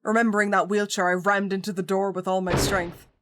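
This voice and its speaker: A woman's voice: medium pitch, a little fast, music in the background. Woman reading a spooky game aloud. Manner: jokey, excitable outbursts between calm reading. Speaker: streamer. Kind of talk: reading a visual novel aloud with reactions.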